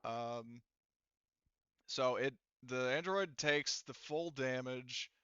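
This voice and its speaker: A man's voice: medium pitch, slow pace, a bit bright, a little hiss or hum. A man acting as game master, narrating a tabletop game in a casual, rambling, informal way. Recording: dry, slightly muffled voice mic.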